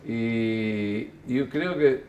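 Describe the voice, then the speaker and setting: An older man from Chile, interviewed, musing on his life in an unhurried, reflective way that often corrects itself. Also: medium pitch, darkish tone, slight background noise. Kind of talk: relaxed reflective storytelling.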